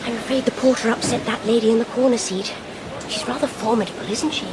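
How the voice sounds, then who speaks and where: An actress playing a polite, curious, sharp-eyed young Englishwoman in a radio drama, speaking in a crisp, inquisitive way. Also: quick pace, close to an everyday voice. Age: young adult. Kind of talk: radio drama acting with first-person narration. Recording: train rumble and noise behind.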